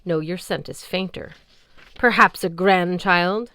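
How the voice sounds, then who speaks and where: A woman voicing an imperious, scornful ancient sea goddess with a deep, booming voice and a haughty, commanding manner. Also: slow, pushed and bright, smooth, no room sound.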